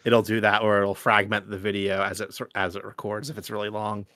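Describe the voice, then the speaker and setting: A man's voice: on the low side, flat delivery, a little fast, bright, forceful tone, dry recording, studio quality. A man co-hosting a podcast in casual chat, talking somewhat hesitantly. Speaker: podcast co-host.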